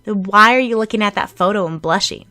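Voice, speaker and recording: A woman's voice: mid-range voice, fast talker, sharp tone, dry recording, close to an everyday voice. A woman voicing a blunt, nosy little stuffed-animal creature who prods with questions in an audiobook. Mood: prying.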